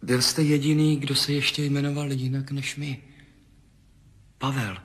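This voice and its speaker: An actor playing a man adrift in a dreamlike vision in a 1970s radio play, with a husky voice and an urgent, awed way of talking. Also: medium pitch, flat delivery, fairly bright tone. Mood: hushed, pleading. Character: astronaut Tom, adult man, anxious and searching. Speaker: narrating lead character. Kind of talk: 1970s radio drama acting.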